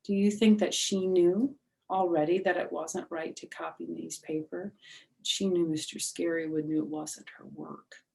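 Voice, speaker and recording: Creaky, low-voiced woman reading a children's story. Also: steady tone, a little slow, mellow, poor audio. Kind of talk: expressive read-aloud of a children's book, with explanatory asides.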